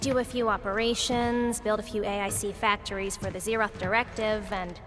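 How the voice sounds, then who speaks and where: A woman's voice: mid-range voice, steady tone, moderate pace, close to an everyday voice. Composed game mentor woman in long reverb acted. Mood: explaining, reflective. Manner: composed, explanatory, gently familiar. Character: calm, friendly, knowing female mentor and ally. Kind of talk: video game voice acting. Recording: long reverb, music behind.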